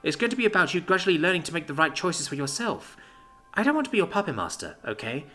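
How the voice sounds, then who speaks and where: Man putting on a fretful young shut-in woman, high voice, precise, bright tone. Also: big pitch swings, fast talker, faint music behind, full character voice. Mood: earnest. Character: fussy reclusive young woman, anxious and precise. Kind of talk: audiobook character voice.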